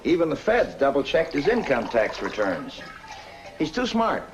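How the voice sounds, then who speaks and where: An actor playing a wry, frustrated police detective in a 1950s film noir. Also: male voice, fairly high pitch, huge pitch swings, normal speed, music in the background. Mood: wry, informing. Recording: dull, faintly hissy old film soundtrack.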